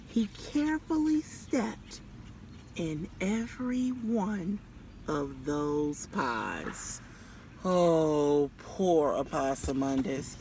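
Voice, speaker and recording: A woman's voice: deep voice, sharp tone. A woman reading a children's story aloud outdoors, theatrical and speaking directly to young listeners. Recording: clear background noise, outdoors.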